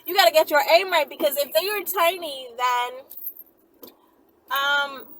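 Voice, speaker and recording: A woman vlogger answering viewer questions in a rambling, animated monologue, often checking in with "right?" and "okay?". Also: sits high, unhurried, far from the mic, low-quality recording. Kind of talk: casual Q&A monologue.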